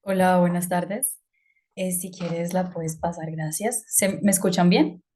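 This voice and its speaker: A woman's voice: fairly low pitch, fairly even intonation, a little slow, warm tone. A woman from Colombia giving an online talk, speaking conversationally with fillers and hesitations. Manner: conversational, hesitant with fillers, asks about slides.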